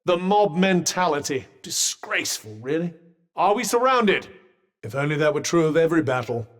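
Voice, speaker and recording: A wry, haughty British magical vambrace, reverberant. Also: male voice, fairly high pitch, sing-song, moderate pace, fairly bright tone. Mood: urgent warning. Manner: dry, sardonic, formal, condescending understatement. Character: sentient magical vambrace, wry, haughty, fussily cautious male companion. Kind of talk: video game character dialogue. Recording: long reverberant echo on the voice.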